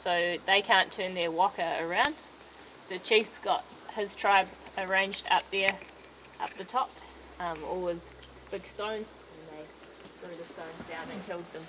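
A man, a river guide chatting through a local legend in a relaxed way from his canoe in a gorge. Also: high voice, measured pace. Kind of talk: casual storytelling. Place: outdoors in a canoe on a gorge stream. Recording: dull sound with loud water noise.

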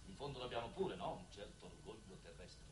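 A proud male official played by an actor speaks with cutting scorn in an old TV drama. His medium-pitched voice echoes around a studio set, away from the microphone. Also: animated, brisk, background noise, lightly acted. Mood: scornful. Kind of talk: 1960s Italian television drama acting. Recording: echoey, distant old television soundtrack.

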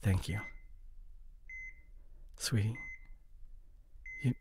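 A young man playing a remorseful drinking boyfriend in an intimate audio roleplay, speaking in a halting, apologetic, soft and pleading way. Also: on the low side, fairly even intonation, background noise. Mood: pleading, subdued.

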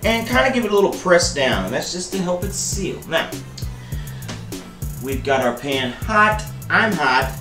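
A man's voice: sits high, very animated, a little slow. Man, 30s, home cooking host, playful and chatty, camera mic a few feet away, echoey kitchen, background music. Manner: playful, jokey, calls viewers baby and buddy. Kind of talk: casual cooking demonstration. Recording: roomy kitchen with music behind.

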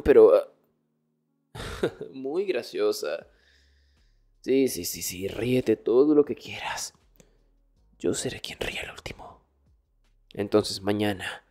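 Male actor as arrogant nerdy student, dark mellow voice, husky, hushed ASMR roleplay, often whispering. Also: on the low side, heavily acted. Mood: flustered, teasing. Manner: hushed, hesitant stammers, often dropping into whispers. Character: arrogant nerdy young male student, exasperated, teasingly dominant. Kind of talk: Spanish ASMR boyfriend roleplay, intimate one-sided dialogue.

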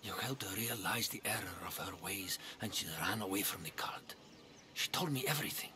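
Casting: troubled townsman in a game, low register, pleading yet calculating. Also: fairly quick, sharp tone. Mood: grateful. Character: troubled, scheming male ancient Greek townsman. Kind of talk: video game dialogue acting.

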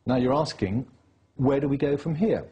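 Husky-voiced man lecturing insistently. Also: medium pitch, moderate pace, warm tone. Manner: insistent, lecturing, piles up dates and facts. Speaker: interview guest. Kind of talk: political interview.

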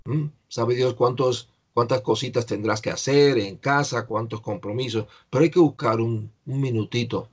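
A man's voice: mid-range voice, normal speed, hardly any pauses, breathy edge. An older man teaching English in an online class, patiently explaining pronunciation to a student over a video call.

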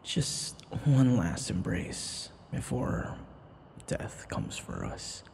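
A man playing an obsessive, lovesick young vampire in an intimate ASMR roleplay, breathy and whispery, halting and full of sighs. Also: fairly low pitch, huge pitch swings, a little mellow, slight background noise, full character voice. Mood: tender, fatalistic. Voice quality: breathy, often whispery, creaky.

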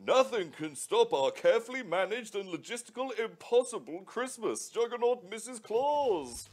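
A man's voice: very animated, moderate pace, a little mellow. A man voicing a jolly, pompous old Father Christmas in a live radio play reading. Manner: booming theatrical delivery with ho ho ho. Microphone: headset.

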